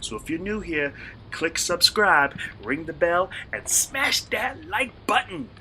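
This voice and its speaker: A man playing an eager, polite college student and hobby vlogger in a radio play, excitable and earnest. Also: high voice, slow pace, slight background noise, full character voice. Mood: hyped up. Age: young adult.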